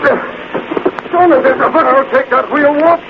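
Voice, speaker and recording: An actor playing a brisk, commanding crime-fighting hero in 1940s radio acting style. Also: male voice, very high-pitched, vocal fry. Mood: urgent. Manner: clipped, commanding orders. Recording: tinny, hissy 1940s radio recording.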